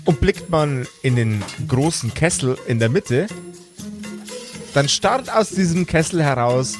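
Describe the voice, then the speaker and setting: A man narrating a fantasy story as game master, with deliberate, dramatic phrasing and a suspenseful style. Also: on the high side, expressive, music in the background.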